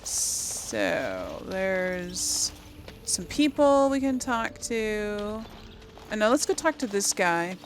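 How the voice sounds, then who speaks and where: Female gaming host, slow-paced and lively in pitch, playful casual role-play commentary over faint ambience. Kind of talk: casual role-play game commentary, reading dialogue aloud. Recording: faint background noise.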